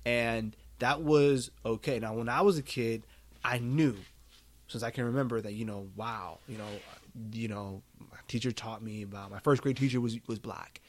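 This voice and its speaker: A man's voice: mid-range voice, normal speed. An American man in a casual podcast discussion, rambling and emphatic, peppering his opinions with "you know" and "like". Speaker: podcast speaker.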